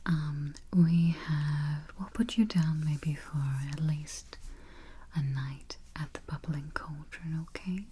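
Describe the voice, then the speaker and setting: A woman whispering softly and calmly in an ASMR roleplay as a vampire travel agent, close to the microphone. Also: low voice. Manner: soft whispering, unhurried and reassuring. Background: keyboard typing and taps.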